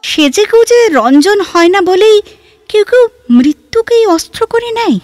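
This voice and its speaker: A woman playing an articulate, wistful, reflective woman in a literary audio drama, with measured, theatrical phrasing. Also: extremely high pitch, animated, darkish tone, faint music behind.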